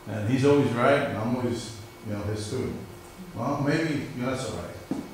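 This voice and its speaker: A man's voice: medium pitch, normal speed. A middle-aged male professor with a New York area American accent, giving a conversational university lecture and quizzing his class. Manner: conversational, questions the class and answers himself. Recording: very echoey room, mic far off, faint hiss.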